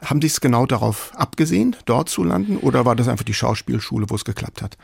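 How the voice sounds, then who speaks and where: A man's voice: mid-range voice, expressive, quick pace, vocal fry, husky, dry recording, studio quality. A man hosting an interview, putting questions and comments to his guest in a conversational way. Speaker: interviewer, host.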